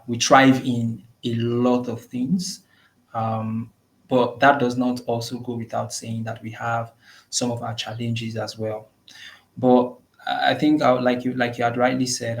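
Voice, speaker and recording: Steady, flat-toned Nigerian man. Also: medium pitch, vocal fry, rough recording. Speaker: guest. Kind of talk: measured interview answers. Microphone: video call.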